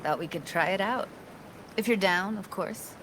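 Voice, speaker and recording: A woman's voice: mid-range voice, moderate pace, background noise, lightly acted. A woman playing a playful, self-assured young tech tinkerer in a video game, talking in a casual, teasing, slangy way. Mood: upbeat, casual. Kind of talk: naturalistic video game acting.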